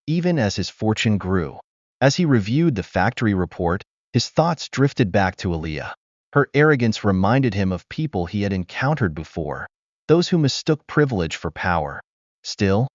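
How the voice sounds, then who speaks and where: A man narrating a scripted moral tale in a smooth, expressive storytelling manner. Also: on the low side, a little slow, no echo. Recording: dull dry recording.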